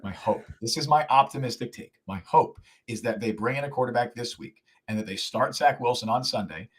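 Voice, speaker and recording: A man with a hoarse voice co-hosting a sports podcast, talking fast and emphatically and repeating himself to hammer his points home. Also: mid-range voice. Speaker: podcast co-host.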